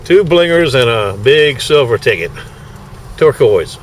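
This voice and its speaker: A man's voice: mid-range voice, lively intonation, measured pace, slight background noise. A middle-aged man, chatting folksily in a casual video diary from his car and home, recorded on a handheld camera. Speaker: knife sharpener vlogger.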